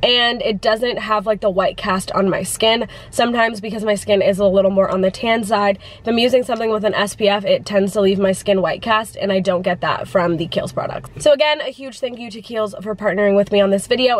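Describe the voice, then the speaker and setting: Congested female vlogger, chatty and filler-heavy, mid pitch. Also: a little fast, hardly any pauses. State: congested from the flu. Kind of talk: casual vlog chat.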